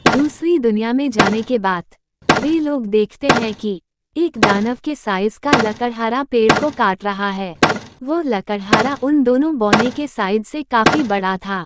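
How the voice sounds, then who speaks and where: A woman's voice: a little high, a little slow, very noisy. A woman narrator reading a scripted cartoon story. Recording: loud background music.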